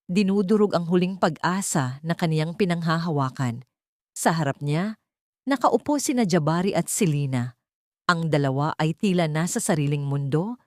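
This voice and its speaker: Woman narrating a dramatic story, very expressive pitch swings, dry close recording, solemn. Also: no room sound.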